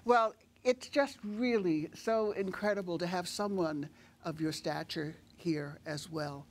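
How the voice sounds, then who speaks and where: A woman's voice: on the low side, a little slow. An older woman interviewing guests as a talk show host in an effusive, welcoming, conversational way. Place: TV studio stage, seated at a desk.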